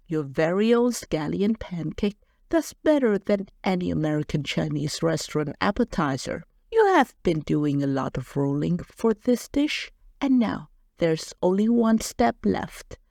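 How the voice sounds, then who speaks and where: A woman's voice: lowish voice, gravelly, darkish tone, dry recording, clean studio sound. A woman with a non-native English accent narrating a home cooking recipe as a voice-over, cheerful and playful. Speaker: cooking channel host.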